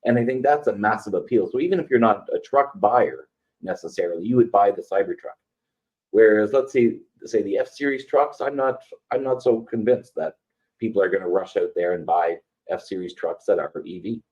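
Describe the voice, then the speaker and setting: A man with a lowish voice co-hosts an industry podcast, weighing his opinions carefully and leaning on fillers. His audio comes through dull and lo-fi. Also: a little mellow. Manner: hedging, filler-heavy, measured. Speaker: podcast co-host. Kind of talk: casual industry chat.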